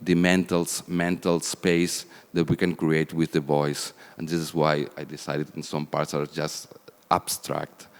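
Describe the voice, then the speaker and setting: Male composer, extremely low smooth voice, slow and halting, hall echo. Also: completely flat delivery. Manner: hesitant, many fillers. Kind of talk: panel talk. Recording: reverberant hall.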